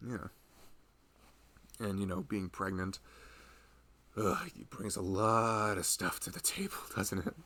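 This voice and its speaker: A man's voice: fairly low pitch, slow pace, pauses often, bright, forceful tone, exaggerated voice. A man playing a loving husband who rambles tenderly and a little anxiously in an intimate, conversational ASMR roleplay. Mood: playfully exasperated. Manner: rambling, hesitant, conversational, frequent "you know". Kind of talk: intimate ASMR boyfriend roleplay, improvised-sounding chat.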